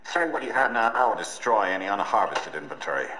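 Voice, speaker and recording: An actor playing an arrogant, commanding villain boss with a dismissive drawl in a game cutscene. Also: male voice, on the low side, very animated, brisk, bright, forceful tone. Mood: cold, dismissive. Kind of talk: video game cutscene acting. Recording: echoey room, faint noise.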